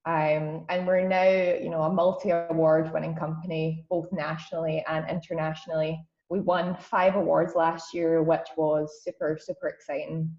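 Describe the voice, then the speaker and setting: A woman's voice: deep voice, flat delivery, normal speed, hardly any pauses, a little mellow, reverberant, lo-fi sound. A woman in her twenties with a broad Scottish accent, giving a chatty webinar talk as guest speaker over a video call, with plenty of ums. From Scotland. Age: 20s. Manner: friendly, chatty, many ums. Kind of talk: webinar presentation.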